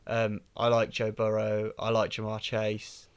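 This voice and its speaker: A man's voice: on the low side, monotone, measured pace, smooth, no echo. Chatty man, dry sound. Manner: chatty, opinionated, laughs easily. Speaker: podcast guest. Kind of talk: casual sports podcast chat.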